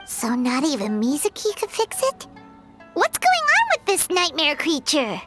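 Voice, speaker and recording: Squeaky, very high childlike sidekick. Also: female voice, huge pitch swings, measured pace, full character voice. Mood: disappointed. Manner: exclamatory, speaks of herself in third person. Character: small childlike floating companion, excitable and cheeky. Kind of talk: video game voice acting. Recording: loud background music.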